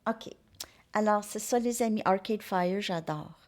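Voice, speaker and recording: Slow-talking woman tarot reader. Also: mid-range voice, a little mellow. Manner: rambling, hesitant, drops to near-whispers. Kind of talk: casual tarot reading video.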